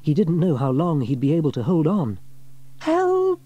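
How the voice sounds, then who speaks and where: A man narrating a scripted children's story as an audiobook reader, with expressive storytelling delivery. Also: a little high, fairly quick, slightly rough, dull audio.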